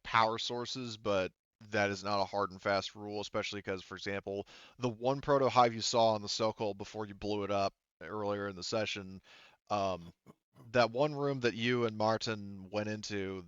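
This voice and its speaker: A man narrating a tabletop roleplaying game as game master, explaining things in a halting, wordy way. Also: lowish voice, fairly bright tone, smooth, no echo, lo-fi sound.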